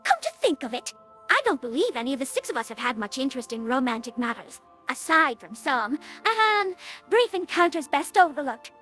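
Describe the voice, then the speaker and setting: A woman's voice: sits high, very animated, fairly quick, sharp tone, soft background music, full character voice. A woman voicing a refined, fussy lady unicorn pony, speaking in a formal, dramatic way in a cartoon audio drama. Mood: probing. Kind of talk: animated cartoon-style audio drama dialogue.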